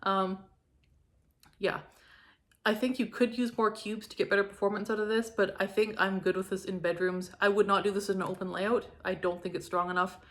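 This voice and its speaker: A young woman from Canada talks casually through her product reviews, enthusiastic and full of likes and ums. Her voice sits at an ordinary middle pitch, a little hoarse from a cold. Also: little pitch movement, brisk, a bit bright. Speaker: home fragrance reviewer.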